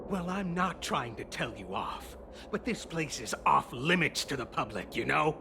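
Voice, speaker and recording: Easygoing craftsman, gravelly and lively. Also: a little high, moderate pace, background noise. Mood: casual, placating.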